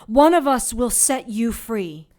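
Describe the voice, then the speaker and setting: A woman playing a defiant, sharp-tongued heroine in an audio drama, sarcastic and deliberate in manner. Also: a little high, animated. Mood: cool resolve. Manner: sarcastic, deliberate, bargaining coolly.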